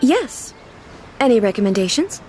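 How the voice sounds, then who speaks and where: A regal female ruler with a fairly high, expressive voice. She is formal, composed and earnestly curious, in video game style. Soft music sits underneath. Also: poor audio. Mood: calm, reassuring. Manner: formal, measured, earnest, literal-minded.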